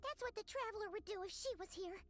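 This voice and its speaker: An actress voicing a tiny, eager, childlike fairy companion with a squeaky voice in a game. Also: female voice, fast, faint music behind. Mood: anxious, eager.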